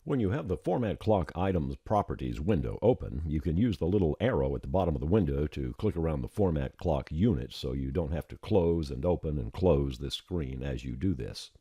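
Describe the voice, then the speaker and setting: A man presenting a software tutorial as a step-by-step screencast voice-over, plain-spoken and informal, on a close mic. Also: very deep voice, expressive, brisk, hardly any pauses, husky, dry recording.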